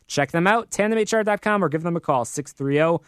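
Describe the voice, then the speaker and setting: A man's voice: fairly high pitch, animated, brisk, fairly bright tone, smooth, no room sound. An American man hosting a live business talk radio show from a studio in an upbeat, promotional manner.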